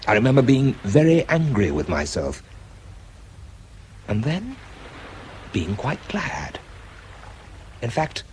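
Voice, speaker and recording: An actor with a British accent playing a tense, self-doubting wartime bomb disposal man in a radio drama, shifting between clipped reports and quiet confession. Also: low voice, a little hiss or hum. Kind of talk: radio drama acting, first-person narration and spoken report.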